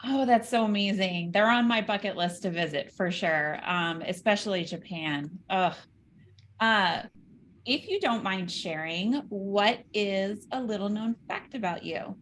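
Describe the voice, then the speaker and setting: A woman's voice: medium pitch, unhurried, fairly bright tone, slight background noise. A woman hosting an interview, upbeat and effusive as she asks her questions. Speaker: interview host.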